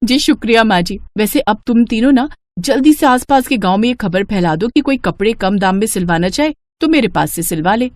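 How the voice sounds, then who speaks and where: A woman voicing a spirited young village daughter and bride in an animated, expressive storybook style. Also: on the high side, fairly quick, no echo, close to an everyday voice. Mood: hopeful.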